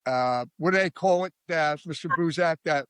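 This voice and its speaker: A man with a Philadelphia accent who talks through a case in a rambling, emphatic style. His voice sits a bit high for a man. It is recorded very dry, with no room sound. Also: moderate pace, fairly bright tone, smooth, no echo. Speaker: podcast guest. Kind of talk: casual true-crime discussion.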